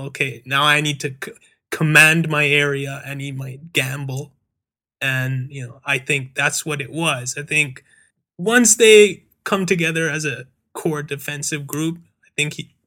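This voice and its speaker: A man hosting a soccer discussion, giving measured, hedging analysis. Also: a little high, bright, forceful tone. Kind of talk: casual chat.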